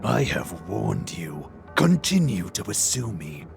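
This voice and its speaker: Gravelly, menacing cyclops villain. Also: male voice, on the low side, big pitch swings, takes it slowly, exaggerated voice. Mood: ominous threat. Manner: grandiose, threatening, theatrical. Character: arrogant, menacing cyclops warlord villain threatening mortals. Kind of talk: theatrical video game villain acting. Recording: dramatic music behind the voice.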